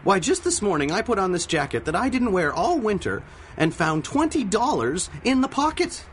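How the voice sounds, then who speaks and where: A prim little official from an old radio drama, high-pitched and very lively. He is chirpy, wordy and fussily polite, with faint noise behind. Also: moderate pace. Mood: cheerful, marveling. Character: fussy, chirpy, meticulous little male safety inspector. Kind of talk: 1930s radio drama acting.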